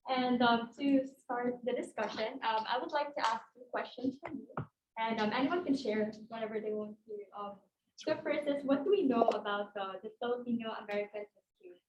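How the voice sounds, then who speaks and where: A woman's voice: a little high, a little fast. A woman facilitating a student workshop discussion, welcoming everyone and asking the group open questions. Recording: noisy, roomy, dull virtual call audio.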